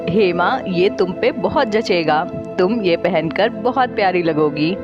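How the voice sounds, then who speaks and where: A woman voicing a mild, affectionate young Indian husband and dutiful son in a Hindi cartoon story, gentle and apologetic. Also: lowish voice, lively intonation, measured pace, muffled recording, music in the background.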